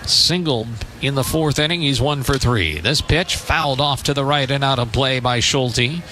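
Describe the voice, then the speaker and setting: An American man doing live radio play-by-play of baseball in a measured, descriptive way, with short phrases and pauses. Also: mid-range voice, sharp tone, slight background noise. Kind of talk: live radio sports commentary.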